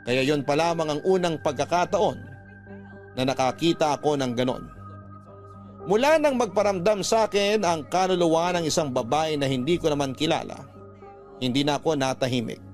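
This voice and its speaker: A Filipino man narrates a story in the first person with a dramatic, scripted delivery. His voice sits on the high side, and music plays softly beneath it. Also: normal speed. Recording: music bed behind narration.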